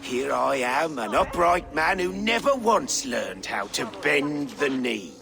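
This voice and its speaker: A man's voice: medium pitch, big pitch swings, slow pace, gravelly, heavily acted. An actor playing a proud deposed Saxon king with a theatrical, sardonic and craven manner in a video game. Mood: bitter mockery.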